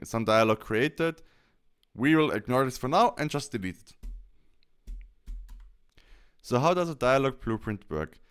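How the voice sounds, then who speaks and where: A man presenting a software tutorial step by step in an informal, explanatory, conversational way. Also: mid-range voice, clear, resonant tone.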